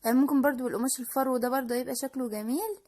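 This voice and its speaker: A woman's voice: high-pitched, steady tone, racing, dark tone. A woman with an Egyptian Arabic accent, talking casually through a crafting tutorial as the channel's host and explaining each step. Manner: chatty and explanatory, with frequent restarts and fillers.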